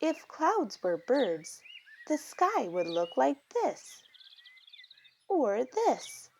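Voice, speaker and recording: A woman reading a children's picture book aloud, with deliberate, expressive phrasing for young children. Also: high voice, a little hiss or hum. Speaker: storybook reader.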